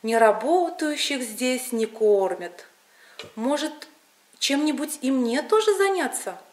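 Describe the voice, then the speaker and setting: A woman's voice: high voice, big pitch swings, slow pace, echoey room, full character voice. A woman voicing a young village beauty in a folk tale, with a simple, earnest and emphatic manner. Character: idle young village beauty turning hardworking.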